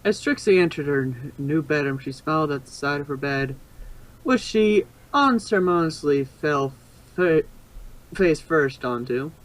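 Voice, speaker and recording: A man's voice: fairly high pitch, lively intonation, unhurried, poor audio. Man reading a story, stumbling occasionally. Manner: stumbles and re-reads phrases. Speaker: fan fiction narrator. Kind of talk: amateur storybook narration.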